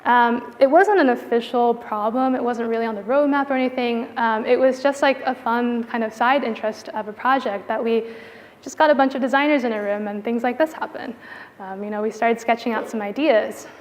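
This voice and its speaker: High-voiced woman presenting in a reverberant hall. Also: brisk. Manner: casual, informal phrasing with frequent "like" and "you know". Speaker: product designer giving a conference talk. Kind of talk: conference presentation, conversational. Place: indoor conference venue. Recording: reverberant hall with long echo.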